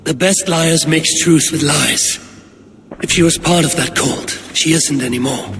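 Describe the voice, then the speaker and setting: An actor playing a loyal, protective warrior companion in a video game, measured and earnest. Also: male voice, fairly high pitch. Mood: protective urging. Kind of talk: dramatic video game cutscene acting. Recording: reverberant hall, clear background noise.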